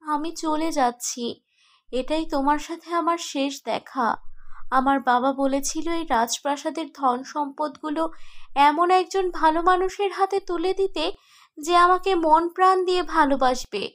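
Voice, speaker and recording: A woman playing a gentle, wistful princess ghost in a cartoon, speaking earnestly and solemnly. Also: high voice, a little slow. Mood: sorrowful farewell. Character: gentle ghost princess, seven hundred years dead, dutiful, wistful. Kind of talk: cartoon voice acting.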